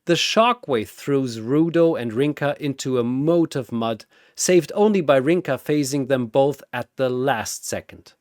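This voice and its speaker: A man's voice: medium pitch, a little slow, hardly any pauses, darkish tone, no room sound, studio quality. A man narrating a scripted battle story in a theatrical, suspenseful manner.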